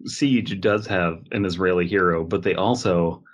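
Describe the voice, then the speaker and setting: Lowish-voiced man riffing on a podcast. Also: moderate pace. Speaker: podcast co-host. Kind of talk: casual joking group podcast chat.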